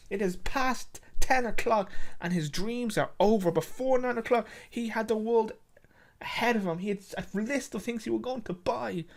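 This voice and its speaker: A storyteller reads a short story in a dramatic way. The voice sits at a medium pitch. The delivery is emphatic and repetitive, with an occasional stumble over the words.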